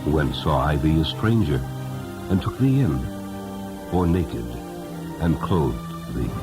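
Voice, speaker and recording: A man voicing a gentle, humble, devout old man in a storybook reading, slow, halting and reverent. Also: extremely low pitch, big pitch swings, exaggerated voice. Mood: humble, questioning. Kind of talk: dramatic storybook character reading. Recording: music under the voice.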